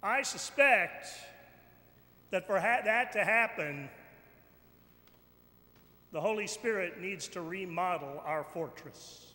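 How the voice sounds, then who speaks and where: A man delivers a sermon in a bright, forceful voice, slowly and deliberately. He declaims with weighty pauses and repeated phrases. The sound rings through a large church with some noise behind him. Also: high-pitched, animated. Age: middle-aged or older. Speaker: bishop preaching. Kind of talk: sermon, slow and emphatic with pauses. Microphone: pulpit microphone. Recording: echoey church hall, background noise.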